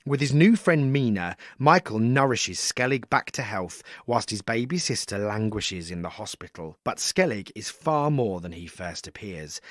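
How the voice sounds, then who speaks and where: Lively male presenter, dry, introducing a reading. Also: lowish voice, no echo. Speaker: podcast presenter. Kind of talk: book introduction.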